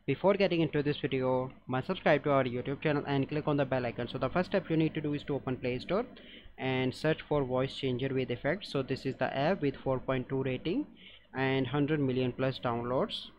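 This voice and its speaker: A man hosting a how-to app tutorial as a voice-over, giving simple step-by-step instructions. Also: mid-range voice. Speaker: tutorial host. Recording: dull lo-fi audio, noisy background.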